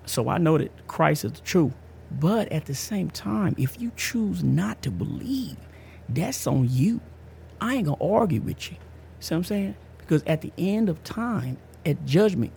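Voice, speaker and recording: Gravelly-voiced man in his fifties. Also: on the high side, animated, unhurried, warm tone, a little hiss or hum. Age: 50s. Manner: emphatic, slangy, repeats himself. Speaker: podcast host. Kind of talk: casual personal testimony.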